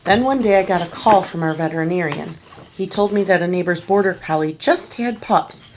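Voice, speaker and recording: A woman reading a children's picture book aloud at a church service, gently and slowly, with small restarts. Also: on the low side, slight background noise. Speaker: story reader at a church service.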